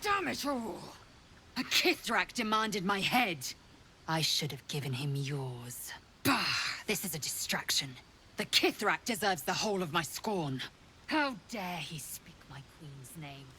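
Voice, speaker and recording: A woman's voice: fairly low pitch, sing-song, unhurried, sharp tone, slight background noise, full character voice. A woman playing a proud, scornful alien warrior in a fantasy video game, imperious and clipped in her delivery. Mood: indignant scorn. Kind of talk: dramatic video game acting.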